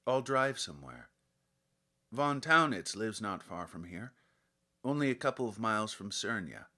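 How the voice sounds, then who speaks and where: An impatient, educated gentleman of a storybook world, read by a man. He speaks decisively and gives orders briskly. The tone is bright and forceful, in a middle register. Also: medium pitch. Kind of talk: audiobook character voice.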